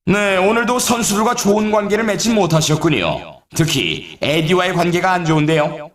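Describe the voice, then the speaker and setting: Excitable sports-game announcer, high and swooping, teasing and dramatic, echoey sound. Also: male voice, normal speed, recorded from a distance. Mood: teasing warning. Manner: dramatic, teasing, mock-warning. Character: excitable, teasing male snowboard race announcer. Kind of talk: scripted game commentary.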